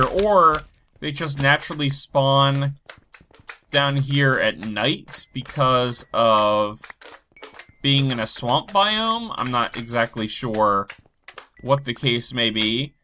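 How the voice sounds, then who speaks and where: A man with a head cold, stuffy and a bit low energy, rambling as he talks through his game and thinks aloud. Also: sharp tone. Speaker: Minecraft let's play host. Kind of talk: casual gameplay commentary.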